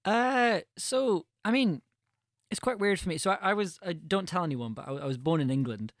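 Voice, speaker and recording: A man's voice: high-pitched, lively intonation, normal speed, no room sound, clean studio sound. A man who grew up in Scotland, chatting on a live stream in a self-deprecating way, often repeating his words. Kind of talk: casual chat on a live stream.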